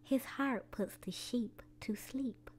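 A woman's voice: on the high side, slow, slightly rough, whispered. A woman hosting a children's storytime, reading a picture book aloud expressively to young children, with music behind her.

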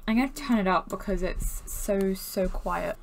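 Casting: teen female vlogger, casual reaction style, self-deprecating banter, medium pitch. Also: moderate pace, gravelly, slight background noise, poor audio. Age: teens, around 16. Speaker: vlogger reacting to own old videos.

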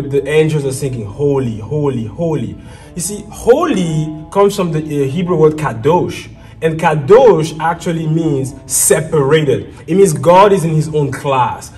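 A man from Cameroon teaches from scripture with earnest conviction that builds into fervent emphasis. His voice is dark and mellow. He sits a little back from the mic, with soft guitar music underneath. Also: on the high side, distant mic. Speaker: apostle, co-host.

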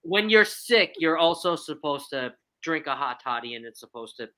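Bubbly woman host on a video call, bright slightly hoarse voice, really emphatic. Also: low voice, lively intonation, normal speed. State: hoarse from lost voice. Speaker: game show host. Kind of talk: live video call game show.

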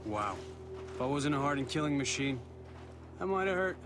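An actor playing a cocky American army sergeant in a video game, a mock-formal joker. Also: male voice, medium pitch, expressive, normal speed, over music, natural voice. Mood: wry, joking.

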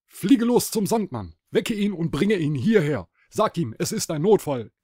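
A man's voice: high-pitched, sing-song, a little slow, a little mellow, husky, no echo, clean studio sound, full character voice. A man voicing a kindly, concerned old Man in the Moon in a children's audio play, giving advice. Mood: commanding.

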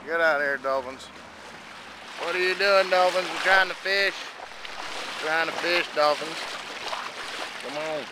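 A man's voice: a little high, unhurried, pauses often. A man giving casual, playful commentary while fishing, speaking outdoors from a boat into a handheld camera. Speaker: fishing video host. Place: outdoors on a small boat at sea. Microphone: handheld camera in open air. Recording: loud boat engine and outdoor noise.

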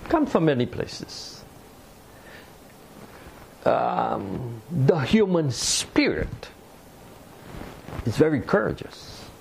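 Older male preacher, gravelly, animated pitch, small room, faint hiss. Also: rough recording. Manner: slow, deliberate preaching with pauses. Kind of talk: sermon. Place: small indoor room by a fireplace mantel.

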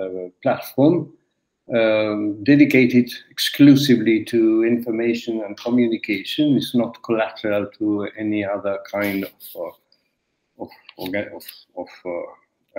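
A man in his sixties from Argentina, moderating a webinar over a video call in a halting way with many fillers. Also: mid-range voice, darkish tone. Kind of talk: opening remarks on a video call. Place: room at home. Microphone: laptop webcam on a video call. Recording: roomy video call audio.

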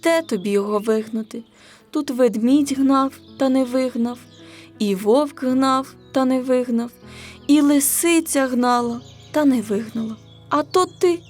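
A woman's voice: a little slow, darkish tone, soft background music. A woman voicing a small, sad male bunny who laments plaintively in a children's folk tale. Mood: tearful, doubtful. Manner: plaintive, high-voiced lamenting. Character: small, sad, tearful bunny driven from his home.

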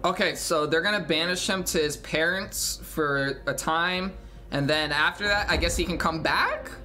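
A young man in his twenties giving casual, low-key reaction commentary with muttered asides. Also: normal speed, bright, forceful tone, soft background music. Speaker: reaction streamer. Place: small bedroom, indoors. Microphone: over-ear headset, desk mic.

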